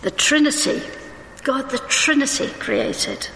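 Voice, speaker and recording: A woman preaching a sermon in a church, conversational and reading scripture slowly, suffering from hay fever. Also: sits high, wildly swooping pitch, sharp tone, a little hiss or hum. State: hay fever, may cough. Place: church hall. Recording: roomy church with long reverb.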